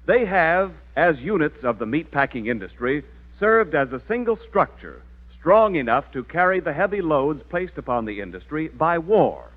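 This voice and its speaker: Animated American 1940s radio announcer man. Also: mid-range voice. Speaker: announcer and sponsor spokesman. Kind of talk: 1940s radio commercial, scripted narration. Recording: very dull, narrow old radio recording.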